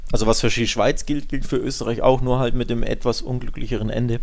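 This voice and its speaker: A man's voice: medium pitch, flat delivery, fast talker, vocal fry, darkish tone. Casual man co-hosting a football podcast. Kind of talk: casual sports podcast chat.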